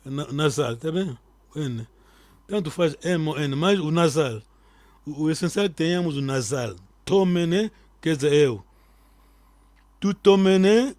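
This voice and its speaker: A man teaching a live online language lesson, quizzing his students and repeating key words slowly so they stick. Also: fairly high pitch, lively intonation, darkish tone, dry recording.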